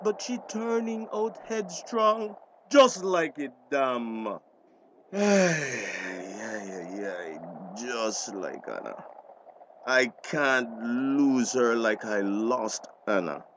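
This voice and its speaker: An actor playing a guilt-ridden, worried older grandfather in a screen drama, halting and repeating himself, with a Belizean accent. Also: mid-range voice, big pitch swings, noisy background. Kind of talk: acted screen drama dialogue.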